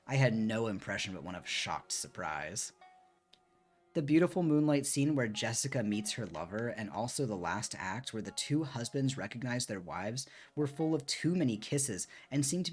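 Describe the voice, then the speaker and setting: A man reading a book aloud expressively on a stream, with casual commentary, close to the microphone. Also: mid-range voice. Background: soft music.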